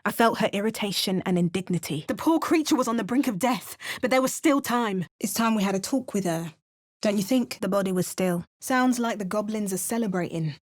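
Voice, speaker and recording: A woman's voice: medium pitch, a little fast, no room sound. A woman delivering a game hero's lines with dry, understated wit and matter-of-fact narration. Her voice sits mid-range and sounds close and dead-dry, with no room around it. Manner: wry, understated asides and narration. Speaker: video game player character.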